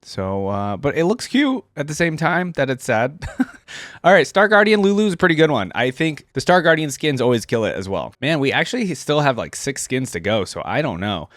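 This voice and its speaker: A man's voice: medium pitch, sing-song, moderate pace, a bit bright, no room sound, clean studio sound. A man giving casual, unscripted reaction commentary, thinking aloud in an informal way as he goes. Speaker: reaction video host.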